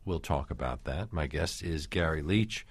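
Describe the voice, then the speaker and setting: Calm radio interviewer, a man with a very low voice, dry booth sound. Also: slightly rough, no room sound. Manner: calm and inquisitive, slow with pauses.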